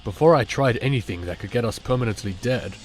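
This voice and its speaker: A brisk, sardonic warrior hero voiced by a man at a middle pitch. The delivery is dry and urgent, in animated fan-dub style over some music. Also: close to an everyday voice, poor audio.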